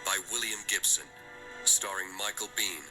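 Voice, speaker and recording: A man's voice: on the low side, moderate pace. A man announcing credits in a dramatic promotional voice-over. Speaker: trailer announcer. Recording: music behind the voice.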